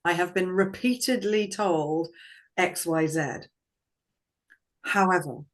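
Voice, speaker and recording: Low, slow, calm woman voice coach; measured and reflective. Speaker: guest, vocal confidence coach. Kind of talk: conversational interview.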